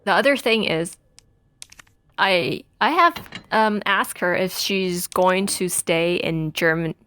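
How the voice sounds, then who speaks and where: A woman in her twenties from Taiwan, chatting and telling stories while playing a video game, rambling with many pauses. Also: on the low side, fairly bright tone, clear, resonant tone. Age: 20s. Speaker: let's play gamer.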